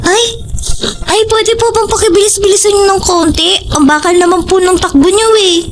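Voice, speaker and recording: Woman acting a very high-pitched young schoolgirl. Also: fairly even intonation, moderate pace. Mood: polite, innocent. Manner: polite, childlike, colloquial. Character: twelve-year-old schoolgirl, innocent, polite, chatty. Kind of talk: audio drama acting.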